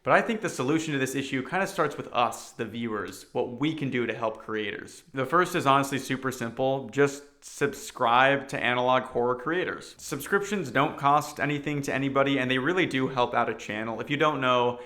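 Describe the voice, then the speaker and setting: A man's voice: medium pitch, brisk, hardly any pauses, sharp tone. A man giving casual opinion commentary to viewers, speaking in an earnest, emphatic way. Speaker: video commentary host.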